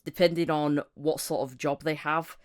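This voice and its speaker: A woman's voice: deep voice, steady tone, normal speed. A woman hosting a podcast in a casual, conversational interview style. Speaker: podcast host.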